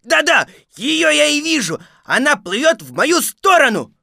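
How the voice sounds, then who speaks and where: A woman doing slow muddled teddy bear. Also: high-pitched, big pitch swings, gravelly, bright, forceful tone, no echo, clean studio sound, pitched above the performer's own voice, full character voice. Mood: cheerful. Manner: slow, hesitant, puzzled talk. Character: good-natured, muddled male teddy bear.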